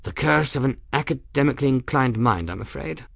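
Man playing absent-minded bookish middle-aged gentleman, dry recording. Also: medium pitch, animated, a little fast, creaky, dry recording, voice pushed up. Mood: absent, muttering. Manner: absent-minded muttering, mild, wordy.

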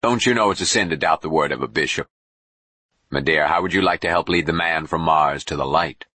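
A man voicing a brash, jovial bishop in an audiobook, a folksy, booming hard-sell showman. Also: a little fast, pushed and bright, no room sound, exaggerated voice. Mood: hearty, preachy. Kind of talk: audiobook character voice.

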